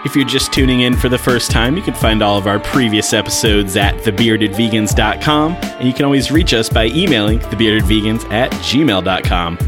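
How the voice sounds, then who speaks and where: A man's voice: fairly low pitch, normal speed, hardly any pauses, over music. A man co-hosting a podcast, chatting informally with wry banter. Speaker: podcast co-host. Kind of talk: casual co-hosted podcast chat.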